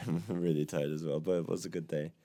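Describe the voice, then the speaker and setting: Young man, hoarse from a cold, gravelly creaky voice, dark mellow tone, casual podcast chat, lots of fillers. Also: low voice, steady tone, brisk. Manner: chatty, frequent fillers and laughs. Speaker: podcast co-host.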